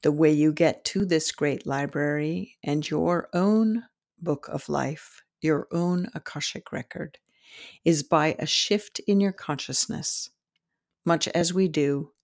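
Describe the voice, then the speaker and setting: A woman narrating a guided meditation as host, in a soothing, deliberate manner with pauses between short phrases. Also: low-pitched, gravelly, a little mellow, no room sound, clean studio sound.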